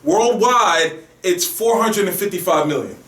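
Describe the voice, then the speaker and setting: A man's voice: sits high, lively intonation, unhurried, a bit bright, distant mic. Echoey man hosting a casual podcast. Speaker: podcast host. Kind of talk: casual geek news podcast chat. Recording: roomy echo, off the mic.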